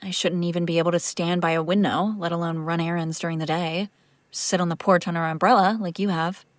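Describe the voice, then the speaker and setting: A woman's voice: on the low side, steady tone, brisk, no room sound, natural voice. A woman playing a female vampire master, an exasperated but protective caretaker, in a conversational, sardonic audio roleplay. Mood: calmer, explaining. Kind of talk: intimate audio roleplay acting, one side of a conversation.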